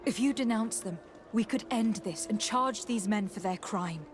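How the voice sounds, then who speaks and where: A noblewoman investigator from a video game, acted by a woman, speaks at a medium pitch with formal authority. She presses firmly and insistently, and music plays clearly behind her. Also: moderate pace, lightly acted. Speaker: game protagonist.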